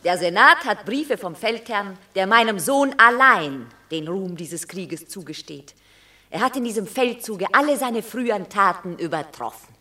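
A woman's voice: mid-range voice, lively intonation, moderate pace, bright, forceful tone. An actress playing a proud, exultant Roman mother of a war hero in a classical radio drama, stately and declamatory. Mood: triumphant.

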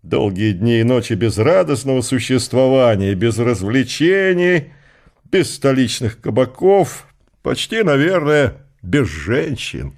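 A man narrating a literary radio drama with measured, expressive storytelling phrasing. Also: medium pitch.

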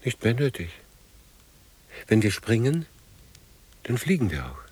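An actor playing a weary, brooding older man in a radio drama, speaking gravely in halting fragments. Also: mid-range voice, big pitch swings, darkish tone.

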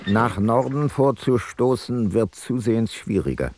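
An actor playing an ancient Greek explorer-scholar, reading his logbook in a measured, factual radio-play narration. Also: mid-range voice, sing-song, darkish tone, slight background noise. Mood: matter-of-fact.

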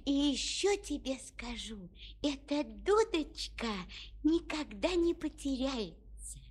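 A woman's voice: high voice, expressive, takes it slowly. An actress playing a kindly, all-knowing old woman in a fairy-tale audio play, speaking emphatically. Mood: knowing, mysterious.

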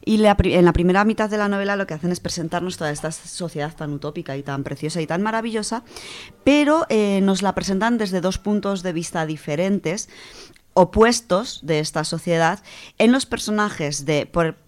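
A woman from co-hosting a radio show, chatting casually and reading a story, animated, with many fillers. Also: on the low side, moderate pace. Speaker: radio co-host presenting a literature section.

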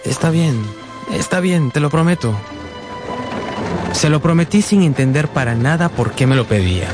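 A man's voice: fairly high pitch, animated, moderate pace, music in the background, close to an everyday voice. An actor in a radio drama playing an earnest, sensitive young man who narrates his own life with feeling. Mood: concerned.

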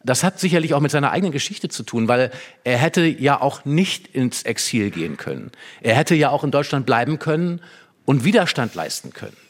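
A man's voice: mid-range voice, big pitch swings, brisk. A man talking in a conversational, explanatory way, analytical and emphatic, often posing rhetorical questions. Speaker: literary discussion guest explaining a play.

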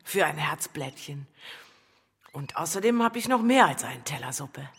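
An actress playing a brazen, mocking, hard-bitten prostitute in a German radio play, blunt and taunting. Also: female voice, low-pitched, expressive, moderate pace, creaky, husky, full character voice. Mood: brusque, flirtatious. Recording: long reverb with music behind.

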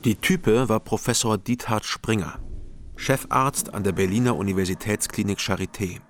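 A man's voice: on the low side, steady tone, moderate pace, a little hiss or hum, natural voice. A man in his thirties narrating a crime radio drama in the first person, dry and reflective. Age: 30s. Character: former-drunk male detective narrator, world-weary.

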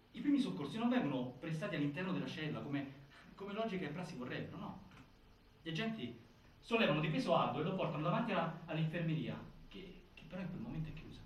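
An indignant narrator of a stage drama, somewhat high in pitch and very animated. He halts, repeats and questions for weight. The sound is distant and heavily echoing, with faint noise. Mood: questioning, indignant. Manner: emphatic, halting, questioning, repeats words for weight. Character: indignant male narrator reconstructing a prison death. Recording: echoey theatre hall from far off, faint noise.

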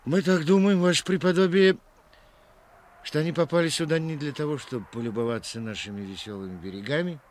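Актёр играет простого рыбака, учтивого и суховато-ироничного, в радиоспектакле 1960-х. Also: male voice, fairly high pitch, fairly quick, close to an everyday voice. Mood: wry, polite. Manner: dryly ironic, polite. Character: plain-spoken, wry, respectful fisherman. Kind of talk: 1960s radio drama acting. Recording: 1960s radio, faint background noise.

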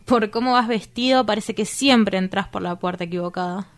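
A woman's voice: mid-range voice, moderate pace, lightly acted. A woman with an Argentine accent playing a prim, curious, sardonic woman in a radio drama, questioning and easily disgusted. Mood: disdainful. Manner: questioning, disdainful, dry.

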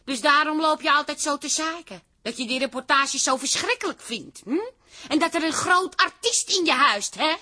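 An actress playing a bossy, encouraging sister in a radio play, brash and emphatic in manner. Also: female voice, high voice, lively intonation, moderate pace, bright, forceful tone. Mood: needling, coaxing.

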